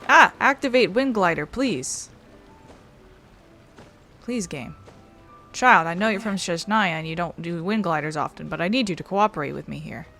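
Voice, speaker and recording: A woman's voice: on the low side, animated, normal speed, vocal fry, long pauses, pushed and bright, soft background music. A woman giving casual gameplay commentary as a game streamer.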